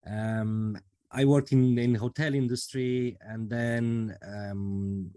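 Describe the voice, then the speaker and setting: A man from Romania who speaks English as a second language, a guest talking through his work in a relaxed, thoughtful way with many pauses and fillers. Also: mid-range voice. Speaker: project manager, guest. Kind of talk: casual interview.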